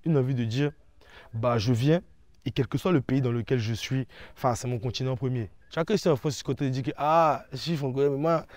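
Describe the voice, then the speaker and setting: A man's voice: medium pitch, fairly quick, a little mellow, no room sound. A man answering in a conversational interview, explaining his views and often checking that the listener follows. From Ivory Coast. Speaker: interview guest.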